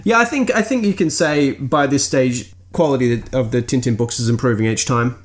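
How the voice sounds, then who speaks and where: A man's voice: medium pitch, moderate pace. A man hosting a podcast, giving a conversational book review, sometimes circling back on his phrases. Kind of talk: conversational review, reading out listener comments.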